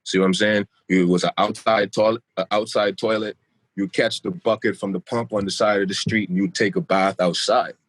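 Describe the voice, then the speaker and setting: Laid-back Bahamian-Florida guy, low voice. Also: steady tone, brisk, a bit bright. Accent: Bahamian mixed with South Florida. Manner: laid-back, rambling, repeats himself. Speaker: podcast guest. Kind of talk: casual podcast chat.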